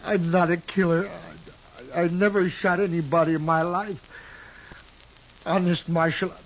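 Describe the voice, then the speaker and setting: A scared simple cowboy kid, stammers, talks slow, old muffled radio. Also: male voice, sits high, level tone. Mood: tearful, afraid. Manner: halting, stammering, pleading. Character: timid, frightened, simple young cowboy outlaw. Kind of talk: 1950s radio western acting. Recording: dull lo-fi old radio recording, faint noise.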